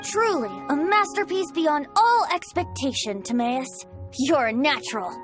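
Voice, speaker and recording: A woman's voice: high-pitched, very animated, measured pace, music in the background. A woman voicing a carefree young male bard in a video game, with a playful, grandiose way of talking. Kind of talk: video game character acting.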